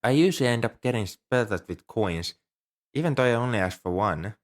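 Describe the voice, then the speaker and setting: A man's voice: mid-range voice, animated, brisk, close to an everyday voice. A man playing an ancient, polite, nerdy dragon who collects coins, speaking earnestly and a little primly to the listener in an ASMR roleplay. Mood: proud, fond. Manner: polite, earnest, slightly prim and scholarly. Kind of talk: ASMR roleplay, one-sided dialogue with the listener.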